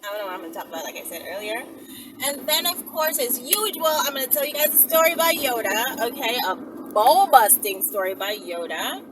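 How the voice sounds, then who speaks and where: A woman's voice: fairly high pitch, animated, fairly quick, background noise. A woman host talking casually to her viewers, sassy and digressive, interjecting comments as she reads out messages. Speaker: host of her own video channel.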